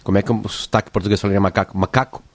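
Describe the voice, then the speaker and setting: A man's voice: fairly low pitch, flat delivery, no room sound. Gaúcho male radio host, dry close sound. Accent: southern Brazilian, Rio Grande do Sul. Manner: halting, repeats words while forming questions. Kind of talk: live interview, conversational.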